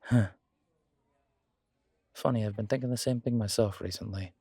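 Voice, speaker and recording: A man playing a wry, self-deprecating alpha werewolf in an audio drama, with a sardonic, measured delivery. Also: fairly low pitch, steady tone, dark tone, breathy edge, no echo, studio quality. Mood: reflective. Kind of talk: scripted audio roleplay drama.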